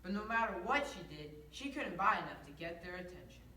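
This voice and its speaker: A woman's voice: fairly low pitch, expressive, a little fast, pushed and bright. A female narrator reading scripted story lines aloud in a readers theater performance. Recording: echoey room, distant mic, faint noise.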